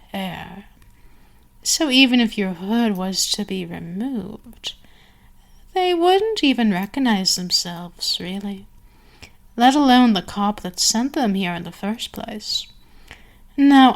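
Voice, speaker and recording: A woman playing an imperious, seductive vampire queen in an ASMR roleplay, speaking deliberately and condescendingly, sometimes slipping into whispers. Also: mid-range voice. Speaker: audio roleplay performer.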